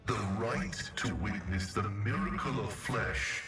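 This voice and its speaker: Echoing pious cyborg tech-priest. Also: male voice, on the low side, very animated, slow pace, music in the background. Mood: proud, zealous. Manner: formal, solemn, preaching. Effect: metallic voice modulator. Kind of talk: scripted video game dialogue. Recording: heavily echoing, distant processed game audio.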